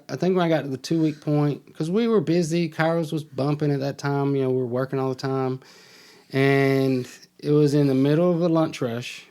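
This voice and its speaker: Male podcast guest, middle-aged, casual storyteller who voices the people he quotes, medium pitch. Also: a little mellow. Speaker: podcast guest telling his life story.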